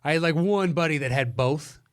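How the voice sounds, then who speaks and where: A man's voice: a little high, brisk. A man co-hosting a podcast in casual banter, jokey and conversational, with some swearing. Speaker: podcast co-host.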